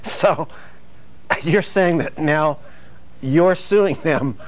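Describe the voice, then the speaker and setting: A man's voice: on the high side, drawn-out words, a little hiss or hum. A man hosting a mock-serious comedy interview, asking questions hesitantly and prompting his guest with words. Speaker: interviewer, host.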